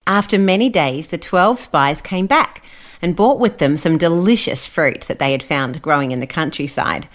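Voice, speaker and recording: A woman's voice: sits low, lively intonation, normal speed. A woman with an Australian accent hosting and reading scripted stories for children, explaining things simply. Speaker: children's Bible story host.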